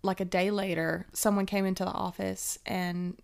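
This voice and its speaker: A young woman, co-hosting a podcast, laughing often and quick with asides. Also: mid-range voice, creaky. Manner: giggly, animated, quick asides. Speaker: podcast co-host. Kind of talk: casual chat.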